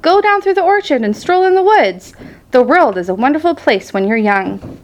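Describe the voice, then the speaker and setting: A woman voicing a bossy, excitable goose in a children's storybook, rattling along and repeating phrases over and over. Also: mid-range voice, normal speed, lightly acted. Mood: exuberant. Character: bossy, excitable, fast-talking goose egging on a pig. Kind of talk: children's storybook read-aloud.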